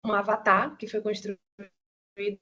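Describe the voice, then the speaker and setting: A woman's voice: medium pitch, little pitch movement, normal speed, pushed and bright, muffled recording. A middle-aged woman with a Ceará accent gives an online nursing lecture in a chatty way, often adding tag questions. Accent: Ceará, Brazil. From Ceará, Brazil. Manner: conversational, many "né" tag questions. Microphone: video call.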